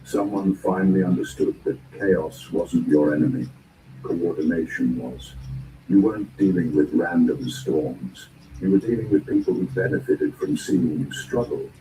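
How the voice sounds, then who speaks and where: A man delivering a scripted spoken-word motivational narration in deliberate, measured phrases and short emphatic statements. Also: sits low. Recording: roomy, distant, clearly noisy recording.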